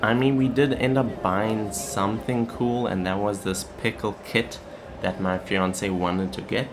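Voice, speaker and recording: A man's voice: on the low side, steady tone, a little slow. A man talking casually as a vlogger, in a chatty, plain-spoken way. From South Africa. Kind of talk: casual vlog talk and voice-over narration. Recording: clearly noisy, ambient background sound.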